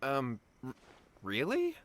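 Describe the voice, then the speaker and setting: A man's voice: medium pitch, wildly swooping pitch, takes it slowly, fairly bright tone, a little hiss or hum, heavily acted. A man playing an earnest, anxious young martial artist in a video game, deferential and hesitant. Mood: taken aback. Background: game music.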